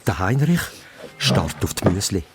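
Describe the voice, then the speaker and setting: A man's voice: low-pitched, sing-song, measured pace. A man with a Swiss accent narrating a children's radio play as a suspenseful story.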